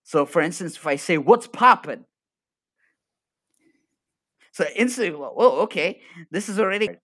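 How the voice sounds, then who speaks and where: A man's voice: sits high, moderate pace, no room sound, clean studio sound. Upbeat Arabic-native man, laughing guest. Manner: energetic, laughs often. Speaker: podcast guest. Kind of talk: casual live-stream chat.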